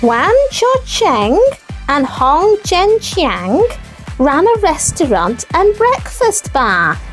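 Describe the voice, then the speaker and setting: A woman's voice: high voice, full character voice. A woman voicing an earnest, childlike young puppet co-presenter reading scripted news for children.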